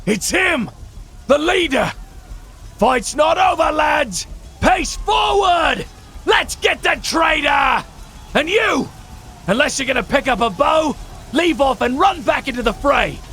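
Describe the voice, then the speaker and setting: A man plays a gruff battlefield soldier in a fantasy audio drama. His voice is pushed very high and bright, calling commands urgently with big swings in pitch. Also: expressive, takes it slowly, long pauses, heavily acted. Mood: commanding alarm. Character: gruff male soldier urging on comrades in battle.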